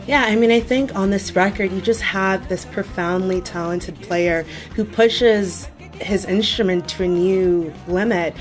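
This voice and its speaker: A woman's voice: medium pitch, measured pace. A woman on a radio panel, talking about music in a thoughtful, articulate way. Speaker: guest music critic.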